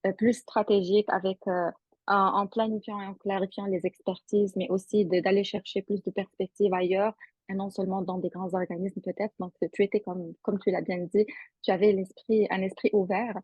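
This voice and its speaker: A woman moderating a panel, summarizing in a halting way. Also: medium pitch, flat delivery, darkish tone, husky, no room sound. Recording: muffled dry audio.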